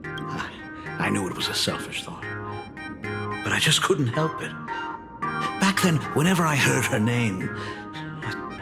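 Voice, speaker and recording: A man's voice: mid-range voice, vocal fry. A man voicing a doting, fretful middle-aged school dean in a video game, with a theatrical, fussing manner. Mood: regretful. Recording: long reverb under background music.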